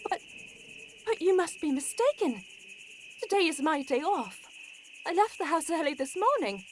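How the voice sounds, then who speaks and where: A woman's voice: very high voice, sing-song, moderate pace. An actress playing an anxious, earnest servant woman under suspicion, dramatic and insistent, in 1940s radio horror style. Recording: noisy background, insect-like ambience.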